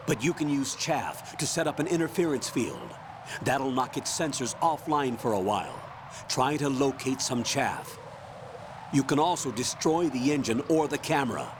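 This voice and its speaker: An actor playing a stern middle-aged military commander in a video game, briefing an agent in a measured, authoritative, formal way. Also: male voice, mid-range voice, noisy background, lightly acted. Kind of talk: scripted video game voice acting.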